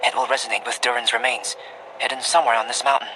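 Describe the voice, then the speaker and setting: An actor playing a calm young alchemist in a video game, speaking with polite, formal, detached precision. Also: male voice, mid-range voice, quick pace, heavy background noise, lightly acted. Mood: composed, analytical. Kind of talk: video game cutscene dialogue. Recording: music behind the voice.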